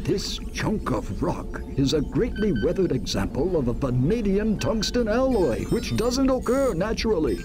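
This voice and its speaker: Casting: a pompous, fussy collector of curiosities for a game; lifted pitch, extremely lively delivery. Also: male voice, moderate pace, hardly any pauses. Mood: proud, pedantic. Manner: formal, fussy, expansive. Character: proud male keeper of a museum of curiosities. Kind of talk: video game voice acting. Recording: game audio under music.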